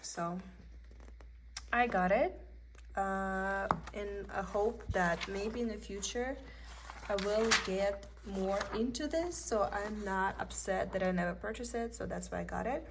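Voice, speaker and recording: A young woman with a Russian accent chats her way through a craft haul, meandering and thinking aloud as she goes. Her voice sits at an ordinary middle pitch, and a faint hiss runs beneath it. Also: measured pace, creaky. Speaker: cross-stitch vlogger. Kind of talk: casual show-and-tell haul. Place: indoors at a table.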